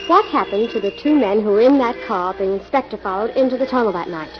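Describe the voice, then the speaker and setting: A woman's voice: medium pitch, fast talker, music in the background, natural voice. An actress playing the hero's curious, admiring female assistant in 1940s radio drama style. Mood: bright, inquisitive. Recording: muffled old radio broadcast recording.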